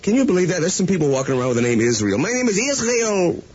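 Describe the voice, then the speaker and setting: A man bantering brashly as a morning radio host. His voice is very rough and raspy, with animated pitch, on a slightly dull old broadcast recording. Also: fast talker. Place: radio studio.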